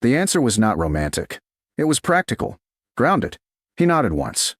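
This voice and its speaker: A man giving measured, dramatic scripted narration of a storybook romance. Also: medium pitch, huge pitch swings.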